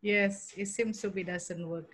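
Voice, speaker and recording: A woman hosting an online briefing, speaking in a slow, halting way as she works things out aloud. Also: medium pitch, steady tone, husky. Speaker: meeting host.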